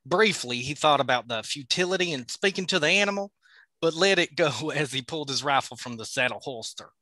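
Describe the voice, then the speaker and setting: A man's voice: fairly high pitch, expressive, a little fast, sharp tone, dry recording. A man hosting a podcast, reading a novel aloud with playful, joking asides. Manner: playful, laughs at his own slips.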